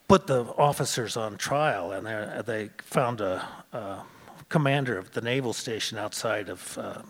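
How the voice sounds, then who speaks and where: A man telling a personal story live on stage, pausing often with "uh" as he goes. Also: on the low side. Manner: halting, with many "uh" pauses. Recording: long hall reverb.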